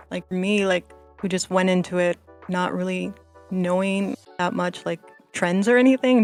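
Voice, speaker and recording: A woman's voice: on the low side, gravelly. A woman guest in a casual podcast discussion, talking in a bubbly, hesitant way with lots of fillers.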